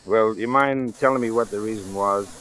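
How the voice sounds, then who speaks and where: An actor playing a small-town police lieutenant in a 1940s radio drama, measured, probing and skeptical. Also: male voice, lowish voice, fairly bright tone, lightly acted, low-quality recording. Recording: hissy old 1940s radio recording.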